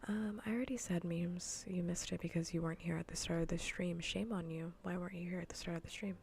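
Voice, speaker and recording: A woman livestreamer chatting casually in ASMR style while gaming, soft, breathy and often whispering, with a playfully scolding manner. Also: lowish voice, steady tone, quick pace, slight background noise.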